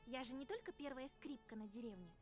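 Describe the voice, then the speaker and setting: A playful, clever young village girl in a horror visual novel. She talks fast with a lively, swooping melody. Faint game music sits behind her. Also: sits high.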